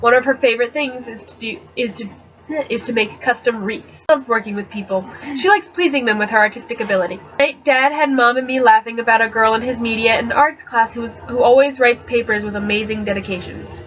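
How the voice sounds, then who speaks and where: A woman giving an expressive, theatrical amateur reading of a first-person novel, with the odd stumble, on a webcam mic. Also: fairly high pitch, a little fast. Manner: expressive and theatrical, stumbles over words now and then. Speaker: amateur book reader. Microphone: webcam microphone. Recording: dull, slightly noisy webcam audio.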